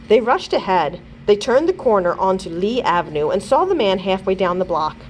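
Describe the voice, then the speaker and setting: A woman reads a children's story aloud as a librarian. Her delivery is expressive, with animated rises and falls in pitch. There is faint noise in the background. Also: normal speed. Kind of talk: expressive children's chapter book read-aloud.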